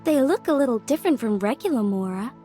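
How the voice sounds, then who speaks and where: A woman's voice: a little high, lively intonation, fast, mellow, close to an everyday voice. A woman voicing a polite, learned young woman in a video game, eager and formal in manner. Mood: curious. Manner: formal, courteous. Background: game music.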